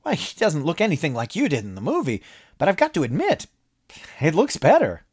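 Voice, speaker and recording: A man voicing an excitable, jovial businessman who gushes in a high voice. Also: brisk, slightly rough, full character voice. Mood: excited. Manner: high, gushing and breathless.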